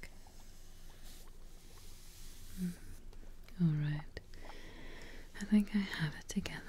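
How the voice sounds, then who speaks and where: A woman in her twenties whispering softly and reassuringly in an ASMR roleplay as a kindly witch, close to the mic. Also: lowish voice, slight background noise. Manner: hushed, slow, reassuring. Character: kindly witch receptionist brewing a healing potion.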